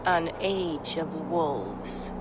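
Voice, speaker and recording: A woman's voice: sits low, over music, low-quality recording. An actress playing a solemn, fatalistic Norn prophetess in a video game, chanting her words like an oracle. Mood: ominous. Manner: slow, oracular, incantatory. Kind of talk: dramatic video game acting.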